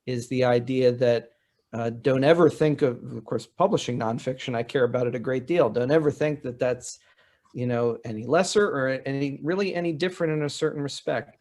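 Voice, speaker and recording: A man with a mid-pitched voice talks as a panelist in a conversational discussion. He explains things the way a writing teacher does, circling back to repeat his main point. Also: a little fast, a little mellow.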